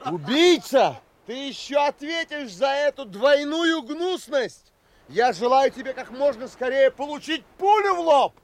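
A man's voice: extremely high pitch, animated, measured pace, full character voice. An actor playing a proud, indignant castaway who narrates his sea adventure in an audio drama, dramatic and literary. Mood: outraged.

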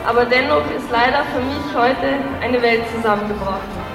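A woman's voice: fairly high pitch, normal speed. A tearful woman giving a farewell thank-you speech as an outgoing carnival princess. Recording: echoey hall, distant, crowd behind.

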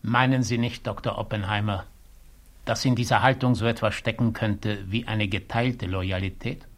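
A man's voice: on the low side, fairly even intonation, normal speed, close to an everyday voice. A male actor in a 1960s German radio drama playing the chairman of a hearing, polite, orderly and official. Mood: courteous, official.

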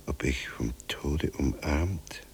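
An actor playing a dead, delirious writer and old soldier in a radio drama, brooding in slow, halting, gravelly monologue. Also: male voice, a bass voice, in a whisper. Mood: solemn, remembering.

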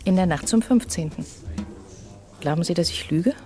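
An actress playing an intense, enigmatic painter in a radio drama, speaking earnestly and intimately. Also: female voice, fairly low pitch, expressive, normal speed, lightly acted. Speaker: lead character, the writer's wife.

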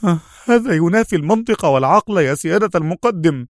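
A man's voice: fairly high pitch, sing-song, fairly quick, dry recording, clean studio sound, voice pushed up. A man voicing an anxious injured comrade in an adventure story, strained and deferential as he speaks. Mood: bitter, protesting. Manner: strained, protesting.

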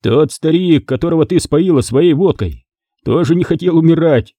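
A man's voice: a little high, moderate pace, warm tone, no echo, voice pushed up. A man playing a cold-blooded, mocking thug in an audiobook, taunting and domineering as he interrogates. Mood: angry, threatening.

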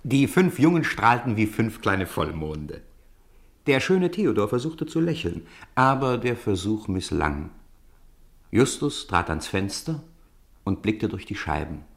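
A man reads the story between scenes of an old radio play. His voice sits low, yet his pitch moves a lot as he brings the tale to life. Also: sing-song, measured pace, gravelly. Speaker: narrator.

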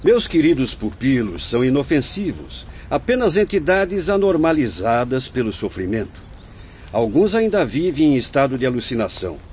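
An actor playing a kindly, venerable old spirit who runs an asylum, with a Brazilian accent, speaking in a measured, teacherly way in a radio drama. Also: male voice, medium pitch, very animated, darkish tone, slight background noise, natural voice. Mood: grave, patient. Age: older. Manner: measured, benevolent, didactic. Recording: muffled audio with music.

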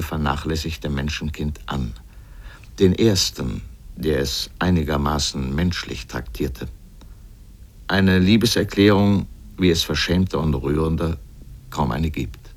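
A man's voice: very deep voice, unhurried. A man delivers a scripted literary radio essay as a commentator, with emphatic, pointed rhetoric.

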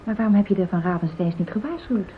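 Actress as anxious, conscientious wife on muffled radio. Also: female voice, expressive, quick pace, close to an everyday voice. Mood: worried, reproachful. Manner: anxious, questioning, gently reproachful. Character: worried, conscientious young expectant wife. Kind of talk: Dutch radio play acting. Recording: muffled phone-like old radio recording, rumbling hiss.

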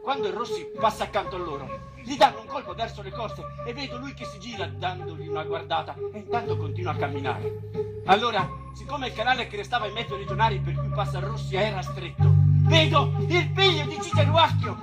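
A man's voice: high voice, huge pitch swings, brisk. A man acting a proud, agitated eyewitness in a theatrical historical drama set in old Rome. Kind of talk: theatrical storytelling. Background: live instrumental music.

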